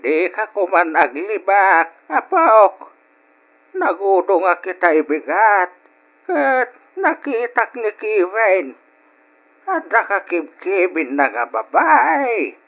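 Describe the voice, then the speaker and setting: Worried old grandpa in radio drama, high slow grave voice, ilocano accent, muffled lo-fi. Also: male voice, big pitch swings, full character voice. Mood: probing, concerned. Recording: dull lo-fi old radio sound.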